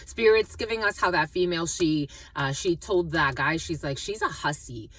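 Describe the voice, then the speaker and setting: A woman's voice: low-pitched, expressive, normal speed, dry recording, recorded from a distance. A woman with family from the Dominican Republic, giving a rambling tarot reading full of fillers and restarts. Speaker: tarot reader. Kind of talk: casual spiritual reading monologue.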